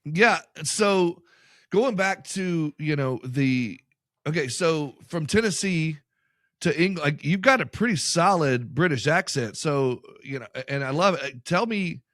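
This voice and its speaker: A man's voice: a little high, animated, measured pace, a bit bright, no echo, studio quality. A man hosting an insurance podcast, talking in an enthusiastic, rambling way with plenty of fillers. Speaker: podcast host. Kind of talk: podcast interview and ad reads.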